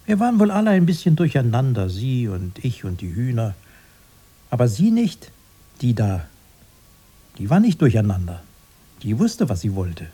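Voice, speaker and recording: An actor playing a rambling, self-excusing grown man being interviewed in a radio play, with a dark, husky voice. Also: medium pitch, wildly swooping pitch, normal speed, long pauses. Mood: agitated. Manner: chatty, rambling, repeating himself.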